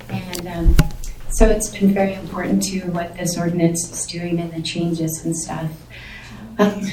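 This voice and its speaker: Soft-voiced woman, kinda flustered, lots of ums, mellow tone, echoey room, mic's far away. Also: on the low side. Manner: soft-spoken and flustered, with many fillers. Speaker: short-term rental owner giving public comment. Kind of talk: public comment at a county board meeting. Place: county board meeting room. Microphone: seated, away from the microphone. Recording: distant, echoey room audio with faint noise.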